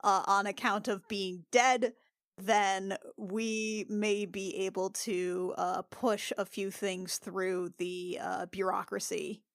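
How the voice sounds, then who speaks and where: A woman improvises in-character dialogue as a player in a tabletop game. She is polite and hesitant, with a mid-range pitch and very dry, close sound. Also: flat delivery, slow pace, dry recording.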